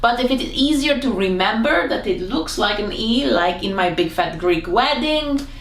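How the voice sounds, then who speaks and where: A woman teaching a comedic language lesson to camera, playful and theatrical, her voice jumping up in pitch now and then. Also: expressive, normal speed. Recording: echoey room.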